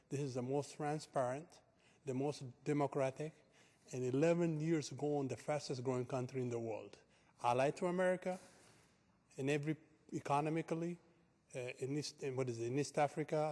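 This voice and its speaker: A man from Ethiopia with an Ethiopian accent, speaking at a city council public comment in an earnest, halting way with many restarts. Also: medium pitch, dark tone. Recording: echoey council chamber.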